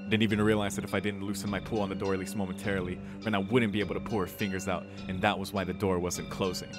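A man's voice: sits low, level tone, fast, loud music behind the voice. A man streaming a visual novel, reading the narration dramatically and breaking into casual, sweary reactions.

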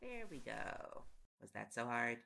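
Man acting a very creaky-voiced affectionate reporter boyfriend. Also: mid-range voice, sing-song, measured pace, heavy background noise. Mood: tender, playful. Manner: playful, coaxing, rambling when on a topic. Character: tired, affectionate, teasing young male reporter boyfriend. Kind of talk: intimate audio roleplay, sleep-aid acting.

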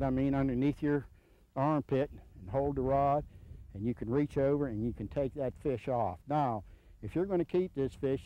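A man in his fifties giving informal, hands-on instruction in a plainspoken, folksy way, repeating his points, on a dull old video recording. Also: medium pitch. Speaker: surf fishing instructor. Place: outdoors on a sandy beach.

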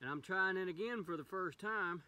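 A man's voice: sits high, moderate pace, bright, forceful tone, no echo. A middle-aged man from West Virginia, hosting a fishing vlog in easygoing running commentary by a creek, filmed on a phone. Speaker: fishing channel host. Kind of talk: casual outdoor fishing vlog. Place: outdoors by a small wooded creek. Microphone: phone camera, sometimes set far off.

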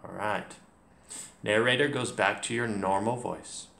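A man in his thirties, a teacher leading a read-along story lesson for children, clear, encouraging and deliberate. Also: on the low side, fairly even intonation, pushed and bright. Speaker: teacher, host. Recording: roomy home recording.

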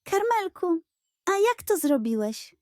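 Woman as a shy, high-voiced beaver. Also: sing-song, takes it slowly, dry recording, studio quality, exaggerated voice. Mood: shy wonder. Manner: shy, questioning. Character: timid, puzzled male beaver in a storybook.

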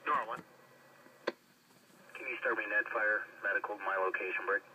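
Sharp, low-voiced man over radio. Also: moderate pace. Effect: police scanner radio. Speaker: emergency radio caller or dispatcher. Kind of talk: clipped radio traffic. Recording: sharp, noisy radio scanner speaker.

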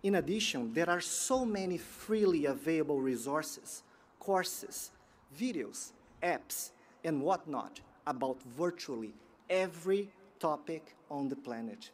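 A man, giving a prepared graduation keynote in a measured, earnest way from a podium outdoors. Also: on the high side, animated, slight background noise. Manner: measured, earnest delivery with pauses. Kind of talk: prepared commencement speech. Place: outdoor sports field ceremony. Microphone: podium microphone.

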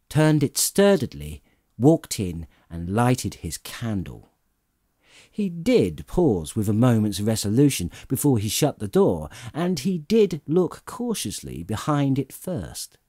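Slow, dramatic male audiobook narrator. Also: mid-range voice, a little mellow, no echo, studio quality. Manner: theatrical, deliberate, drawn-out emphasis. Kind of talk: dramatic storytelling narration of classic fiction.

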